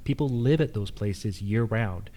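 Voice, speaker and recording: A man's voice: fairly low pitch, a little slow, dark tone. A man with an American accent giving explanatory answers as a candidate at a public forum. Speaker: incumbent candidate for local assessor.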